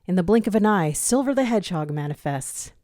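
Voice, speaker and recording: A woman's voice: on the low side, expressive, brisk, warm tone, dry recording. A woman reading a dramatic scripted story as its narrator. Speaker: narrator of a fan fiction audio reading.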